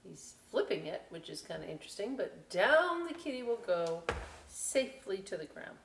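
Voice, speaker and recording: A woman's voice: medium pitch, moderate pace, gravelly. Lady doing storytime for little kids, chatty and folksy, echoey room, bit far from the mic. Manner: animated, folksy, talking directly to young viewers. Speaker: children's story time host at a library. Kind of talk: read-aloud storytelling with chatty asides for children. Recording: roomy, distant mic with faint hiss.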